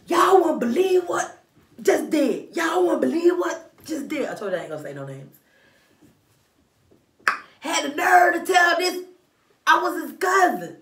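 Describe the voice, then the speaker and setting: A young woman telling a story in a vlog, sassy and animated, filmed by a camera in a small room at home. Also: extremely high pitch, a little slow, pauses often, roomy sound, far from the mic, a little hiss or hum. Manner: animated and sassy, waving her arms. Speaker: vlogger. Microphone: camera in front of her.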